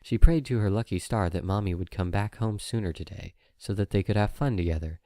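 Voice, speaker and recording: A man's voice: fairly low pitch, fairly quick, warm tone, dry recording, clean studio sound. A man reading a story aloud as an audiobook narrator, in scripted storytelling style.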